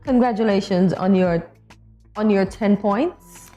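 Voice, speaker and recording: A woman co-hosting a morning show keeps up playful, competitive banter with her partner. Her talk is casual and animated. Faint music plays softly in the background. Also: mid-range voice, measured pace. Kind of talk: casual studio chat.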